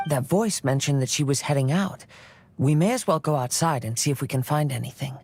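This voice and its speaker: An actor playing a polite, thoughtful young gentleman in an anime-style video game, speaking in a measured, courteous way. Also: medium pitch, noisy background, natural voice.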